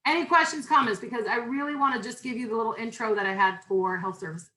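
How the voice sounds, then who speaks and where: A woman instructor with a loud voice, talking informally through a syllabus over a video call, with many fillers and restarts. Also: a little high, fast, poor audio. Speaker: university instructor. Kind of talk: online class welcome and syllabus talk.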